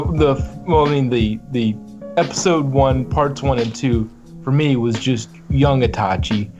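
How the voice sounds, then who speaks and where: A man talks casually as a podcast guest with music clearly playing behind him. He is reflective and halting, working out his thoughts on acting as he goes. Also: medium pitch. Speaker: guest voice actor.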